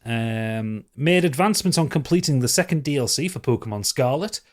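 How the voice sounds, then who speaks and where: Male podcast co-host, mid-pitched voice, casual chat, reading aloud, dry humour, sweary. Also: lively intonation, a little fast.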